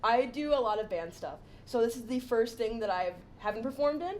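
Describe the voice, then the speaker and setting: A teenage girl, a student band winner, talking in an excited, happy way. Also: on the high side, fairly quick, slight background noise, low-quality recording. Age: teens.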